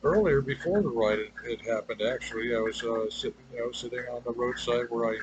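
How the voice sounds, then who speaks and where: A man giving an unscripted, rambling personal monologue in a halting, hesitant way, trailing off and circling back to his point. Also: mid-range voice. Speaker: personal video maker. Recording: clearly noisy ambient background.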